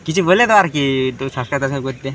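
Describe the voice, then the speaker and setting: A man's voice: medium pitch, expressive, rapid-fire, a bit bright, a little hiss or hum. A man chatting casually as a prank-call host. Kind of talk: casual chat to viewers and on a phone prank.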